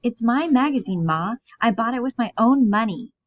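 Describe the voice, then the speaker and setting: A woman's voice: a little high, animated, normal speed, dry recording, pitched above the performer's own voice. A woman voicing an anxious, childlike, pleading grown woman in a stage play. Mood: pleading, defiant. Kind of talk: reading a stage play aloud. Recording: dull, muffled lo-fi audio.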